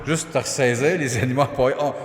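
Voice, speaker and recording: A man with a Quebec French accent, an excitable podcast co-host in rowdy comic banter. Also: mid-range voice, fast, a little hiss or hum. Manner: excitable, swearing. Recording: echoey roomy sound under loud music.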